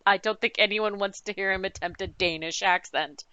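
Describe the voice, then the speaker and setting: A woman's voice: mid-range voice, moderate pace, pushed and bright, clean tone, dry recording, muffled recording. A woman running a tabletop game, recapping the adventure with playful, dramatic storytelling and teasing asides. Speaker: game master. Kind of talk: recapping a tabletop campaign with players.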